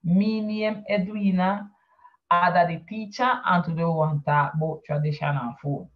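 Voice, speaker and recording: A woman whose first language is San Andrés Creole, teaching an online class and slowly explaining and translating each word. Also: lowish voice. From San Andrés Island, Colombia. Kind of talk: online class over a video call. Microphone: video call. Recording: dull lo-fi video call audio.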